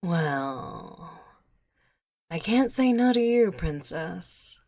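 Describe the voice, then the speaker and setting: A woman's voice: on the low side, lively intonation, extremely slow, a little mellow, no echo, studio quality, exaggerated voice. A woman playing a boastful, stubborn genius engineer in a sci-fi roleplay, pompous and theatrical. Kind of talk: ASMR audio roleplay acting.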